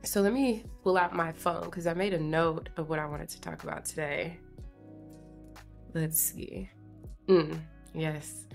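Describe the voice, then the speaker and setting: A woman's voice: deep voice, steady tone, measured pace, creaky, soft background music. A woman in her late twenties with a congested sound, chatting casually and confidingly as a solo podcast host in slangy, informal language. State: congested from allergies. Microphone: podcast microphone at a desk.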